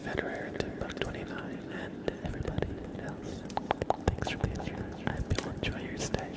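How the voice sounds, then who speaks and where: An ASMR livestream host of unclear sex speaking gently and politely to viewers in a breathy, creaky whisper, close to the mic. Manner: slow, gentle, polite, thanking and welcoming viewers. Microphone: close sensitive ASMR microphone.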